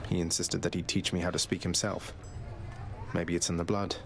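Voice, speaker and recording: Low-voiced, wry young prince. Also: male voice, level tone, moderate pace, vocal fry, very noisy. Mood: casual, curious. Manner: dry, understated, hesitant. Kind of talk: dramatic video game voice acting.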